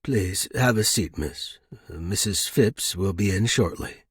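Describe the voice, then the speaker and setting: Aging household butler, acted by a male narrator: formal, deferential, reserved, husky tone. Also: deep voice, slow pace, dry recording, clean studio sound, voice pushed down, heavily acted. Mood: courteous, reserved.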